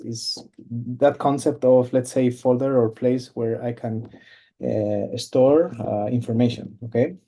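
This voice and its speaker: Halting man presenting on a webinar. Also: mid-range voice, mellow. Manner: halting, many fillers and restarts. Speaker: cloud company presenter. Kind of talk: webinar product demo. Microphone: video call.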